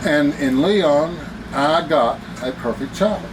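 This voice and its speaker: A man in his sixties, a retired father, answering his daughter's questions in a slow, folksy, hesitant way. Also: a little high. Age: 60s. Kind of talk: casual chat. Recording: distant voice with background noise.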